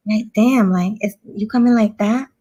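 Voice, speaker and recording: A woman's voice: medium pitch, moderate pace, mellow, muffled recording. A woman hosting a casual podcast chat, mostly giving short replies and asking questions.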